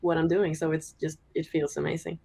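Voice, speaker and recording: A young woman from Norway with a deep voice, chatting casually as a singer-songwriter in an interview, full of "like" fillers. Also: brisk, creaky, noisy background. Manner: relaxed, chatty, many "like" fillers, giggles often.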